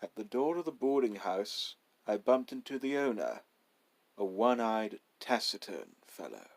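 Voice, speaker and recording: A man reading a literary short story aloud as narrator, in a measured, dramatic style. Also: on the low side, sing-song, gravelly.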